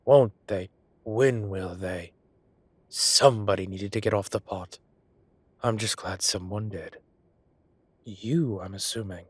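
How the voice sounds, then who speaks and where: A man playing an ancient, teasing godlike being with a soft romantic streak, in a theatrical, drawling and indulgent manner for a roleplay audio drama. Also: fairly low pitch, takes it slowly, pauses often, slightly rough, dry recording. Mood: gossipy, teasing.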